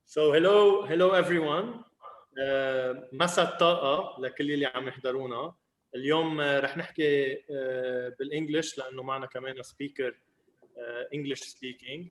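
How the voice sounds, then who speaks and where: Play a Lebanese man hosting a video call, rushing his words in an echoey room, filling gaps with "uh". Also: mid-range voice, fairly even intonation, slight background noise. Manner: switches between languages, with many fillers. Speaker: host.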